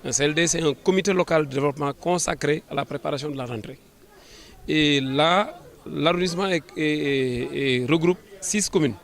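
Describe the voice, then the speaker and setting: Higher-voiced Senegalese man, formal and halting. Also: a faint crowd. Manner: formal administrative phrasing, halting with repeated words. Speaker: government official, sub-prefect. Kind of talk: press interview answers.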